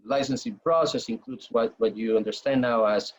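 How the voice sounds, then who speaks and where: A man from Venezuela with a Venezuelan Spanish accent, explaining things haltingly as a webinar panel moderator and often repeating words. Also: mid-range voice. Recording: dull lo-fi webinar audio.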